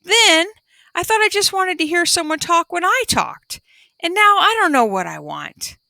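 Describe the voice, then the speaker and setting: A woman's voice: extremely high pitch, sing-song, moderate pace, vocal fry, pushed and bright, dry recording, studio quality, pitched above the performer's own voice, heavily acted. A woman voicing a sleepy, easily vexed young female panda, sing-song and childlike. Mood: uncertain.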